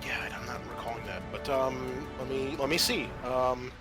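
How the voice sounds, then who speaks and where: A man acting as game master, narrating an improvised tabletop horror game with halting but vivid, dramatic descriptions. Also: medium pitch, fairly even intonation. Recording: music playing behind.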